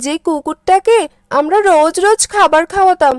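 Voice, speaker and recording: A woman plays a foolish village wife from a cartoon. Her voice is high and whiny, and she is given to melodramatic wailing and scolding. The sound is close and dry. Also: moderate pace, no echo. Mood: pleased, naive. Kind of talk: cartoon character dialogue.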